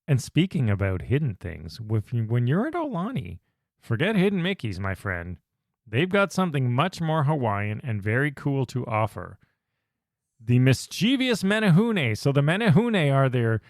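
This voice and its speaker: A man's voice: mid-range voice, very animated, normal speed, dry recording, clean studio sound. A man co-hosting a podcast in casual chat, explaining things in a chatty, enthusiastic way. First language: English. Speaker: podcast co-host.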